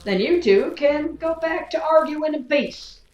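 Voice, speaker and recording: An actress playing a cool-headed gunslinger pilot in an audio drama, dry, teasing and practical. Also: female voice, sits high, lively intonation, unhurried, darkish tone. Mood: brisk, wry. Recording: dull, echoey recording with music. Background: music.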